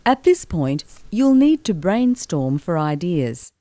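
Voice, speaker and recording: A woman narrating an instructional recording in a measured, explanatory way. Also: fairly low pitch, big pitch swings, warm tone, no echo.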